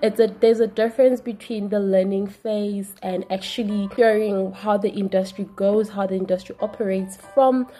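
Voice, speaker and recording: A woman from South Africa, a software engineer, giving a relaxed, casual testimonial. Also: mid-range voice, moderate pace, few pauses. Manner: relaxed, uses fillers.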